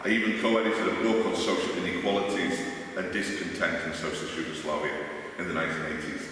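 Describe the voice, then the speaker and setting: A man's voice: deep voice, level tone, quick pace, a bit bright. Echoey Liverpool man giving a lecture. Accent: Liverpool English. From Liverpool, England. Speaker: academic lecturer. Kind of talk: conference lecture. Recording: echoey hall, distant mic, faint noise.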